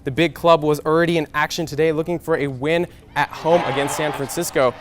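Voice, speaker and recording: A young American man in his twenties anchoring a scripted TV sportscast with game highlights. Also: steady tone, brisk. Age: 20s.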